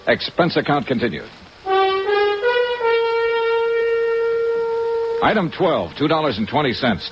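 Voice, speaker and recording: Tough world-weary radio detective, American, blunt, sardonic, swinging intonation, muffled vintage recording. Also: male voice, mid-range voice. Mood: terse narration. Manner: clipped, hard-boiled delivery. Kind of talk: 1950s American radio detective drama acting. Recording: dull old radio recording, faint noise. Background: rain sounds.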